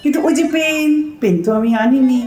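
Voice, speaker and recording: Actress as naive, grumbling bank customer, high animated voice, flustered stammer, roomy recording. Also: female voice, brisk, close to an everyday voice. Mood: muttering, puzzled. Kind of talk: audio comedy drama acting. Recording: roomy echo, faint music.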